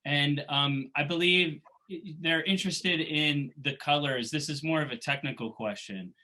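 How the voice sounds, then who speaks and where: Bright-voiced man asking rambling questions. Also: fairly high pitch, a little slow. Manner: rambling, with fillers and restarts. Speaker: interview host. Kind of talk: interview questions.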